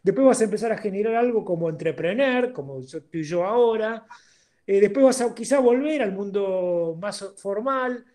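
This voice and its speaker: An older man with an Argentine accent speaks in a strikingly high voice for a man. He talks informally and emphatically, like a seminar guest giving his views over a video call. He often stops to check that he is being understood. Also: expressive, normal speed, dark tone. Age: 60s. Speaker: interviewed guest, accountant turned entrepreneur. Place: indoor room at home, video call.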